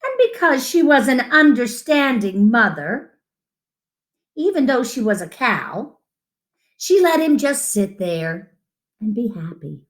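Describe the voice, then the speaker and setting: Lady doing story time for kids, highish voice, takes her time, really expressive, gentle. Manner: gentle, deliberate storytelling with asides about the pictures. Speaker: children's story-time reader. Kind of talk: expressive read-aloud of a picture book to children.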